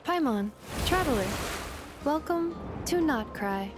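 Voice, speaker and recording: A woman's voice: sits high, lively intonation, slow pace, over music. A woman voicing a polite, literal-minded female robot companion in a video game, with formal, precise phrasing. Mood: polite, informative. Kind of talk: video game character acting.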